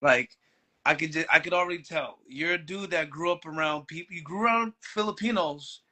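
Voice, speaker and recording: A man's voice: fairly high pitch, fairly quick, sharp tone. A man from Canada chatting as a podcast guest, laid-back, often restarting his sentences. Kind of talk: casual chat.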